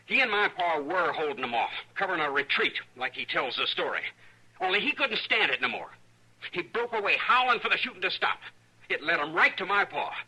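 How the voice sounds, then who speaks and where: A young Western avenger with a rural American drawl, bitter and insistent. The voice is fairly high, sharp and husky. It has the sound of an old radio western. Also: male voice, heavily acted. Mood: bitter, resolute. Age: young adult. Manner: folksy, insistent, accusing. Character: young man bitterly bent on avenging his father. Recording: bright, lo-fi old radio broadcast.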